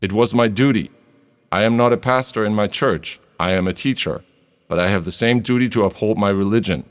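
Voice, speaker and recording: A man voices an earnest, dutiful schoolteacher defending himself in court. His voice sits low and steady. It rings out with a long reverb tail, like a big room. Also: a little fast, smooth, natural voice. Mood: firm. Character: plain-spoken male teacher on trial.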